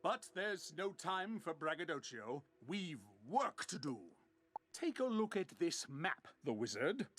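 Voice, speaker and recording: An actor playing a bombastic old-time gentleman adventurer in a video game, pompous and theatrical. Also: fairly high pitch, big pitch swings, a little slow, slight background noise. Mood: triumphant, eager. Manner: pompous, grandiose, exclamatory.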